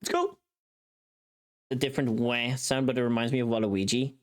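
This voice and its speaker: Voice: medium pitch, little pitch movement, normal speed. A livestreamer chatting casually while working, easily distracted and prone to exclamations.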